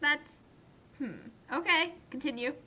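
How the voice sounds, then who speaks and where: Excitable woman, piercingly bright high voice. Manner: excitable, halting, repeats and breaks off phrases. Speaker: co-commentator. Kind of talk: casual comic commentary.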